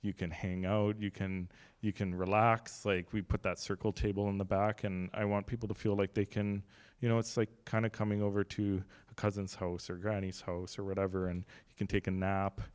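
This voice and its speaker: A man's voice: on the low side, flat delivery, normal speed, a little mellow, clear, resonant tone. A young man, a gallery's artistic director, giving casual welcoming remarks into a handheld microphone at a long table in a gallery room, with many fillers, restarts and light jokes.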